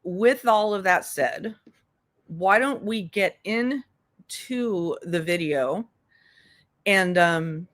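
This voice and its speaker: Low-pitched, blunt woman livestreamer. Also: lively intonation, extremely slow, pauses often, a bit bright. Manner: blunt, profane, exasperated. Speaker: livestream host and legal commentator. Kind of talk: casual, unscripted livestream commentary.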